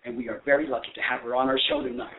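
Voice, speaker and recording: A man's voice: medium pitch, flat delivery, very fast. A man hosting a call-in radio show, speaking English as a second language, praising his guest earnestly and reading prepared questions. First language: not English. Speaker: radio host. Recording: phone-like lo-fi sound.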